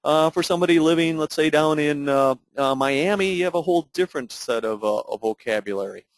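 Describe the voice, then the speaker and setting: A man narrating an unscripted software tutorial in a halting, explanatory way with many fillers. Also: on the high side, dry recording. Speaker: product demonstrator.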